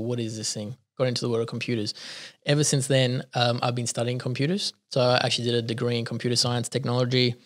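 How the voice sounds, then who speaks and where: A man from South Africa whose first language is Italian, chatting as a podcast guest in a casual, rambling way, often laughing at himself. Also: mid-range voice. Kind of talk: casual conversational storytelling.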